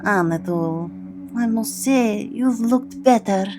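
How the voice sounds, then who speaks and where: A woman's voice: mid-range voice, a little slow, music in the background, natural voice. A woman playing a wry, determined gang leader in a comedic audio drama, conversational and sardonic. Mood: resolute, wry.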